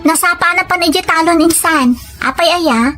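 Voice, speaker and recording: A woman's voice: extremely high pitch, moderate pace, bright, forceful tone, faint music behind. A woman with a Filipino accent playing a dutiful, grateful young student and daughter in an audio drama, polite and modest. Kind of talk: audio comedy-drama acting.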